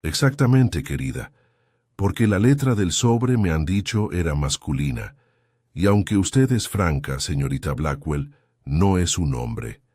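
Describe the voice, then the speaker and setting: Man reading a mild, shrewd old lady sleuth, deep and very dry. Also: animated, unhurried, dry recording. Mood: gentle, probing. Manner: soft and kindly, probing. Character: gentle elderly spinster sleuth, mild and shrewd.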